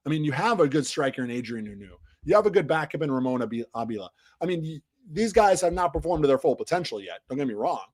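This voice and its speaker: A man's voice: a little high, very animated, fast. Man with a voice still mending. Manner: rambling, frequent restarts and fillers, trails off quietly at phrase ends. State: recovering from losing his voice. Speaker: soccer podcast host. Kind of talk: casual match recap on a live stream.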